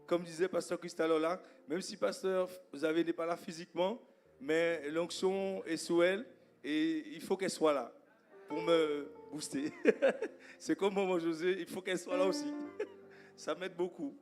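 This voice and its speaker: A man from Guadeloupe with a Guadeloupean accent, whose first language is Creole, greeting a congregation as guest preacher in a halting way with many fillers. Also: a little high, steady tone, faint music behind. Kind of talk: informal welcome before a sermon. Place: church service.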